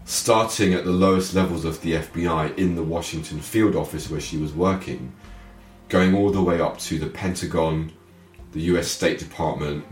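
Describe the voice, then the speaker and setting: A man hosting a podcast, explaining in an earnest, emphatic way. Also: low-pitched. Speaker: podcast host. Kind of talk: podcast narration. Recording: roomy with faint music. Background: faint music.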